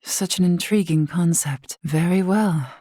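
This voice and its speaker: A woman playing a wise, courtly elder of a fantasy race in a video game, speaking formally and with measured, gently teasing poise. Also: lowish voice, no echo, studio quality. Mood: intrigued. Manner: formal, measured, archaic wording. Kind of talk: scripted game character dialogue.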